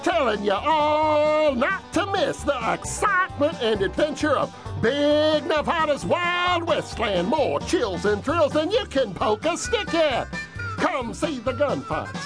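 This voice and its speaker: A woman playing a brash, folksy cowboy pitching a Wild West park in an over-the-top hollering commercial style, over music. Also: big pitch swings. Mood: rowdy selling. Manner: hollering, drawn-out showy cowboy delivery. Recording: loud music behind.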